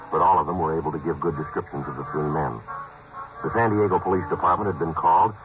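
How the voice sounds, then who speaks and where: A clipped, deadpan police sergeant. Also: male voice, extremely low pitch. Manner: clipped, flat, matter-of-fact delivery. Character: terse male police detective sergeant narrating his case. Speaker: lead character and narrator. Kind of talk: 1950s radio drama narration and dialogue. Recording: dull, noisy old radio broadcast.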